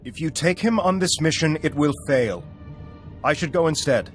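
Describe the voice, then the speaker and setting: A man's voice: fairly high pitch, animated, normal speed, faint music behind, natural voice. An actor playing an earnest, agitated Jedi in a video game, accusing and dramatic in his delivery. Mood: urgent, agitated. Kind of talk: cinematic video game acting.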